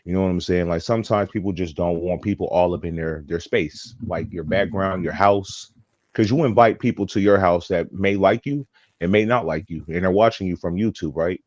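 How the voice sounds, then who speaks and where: Deep-voiced, rambling man in his thirties. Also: normal speed, darkish tone, no room sound, lo-fi sound. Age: 30s. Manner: rambling, chatty, laughs easily. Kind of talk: casual panel chat.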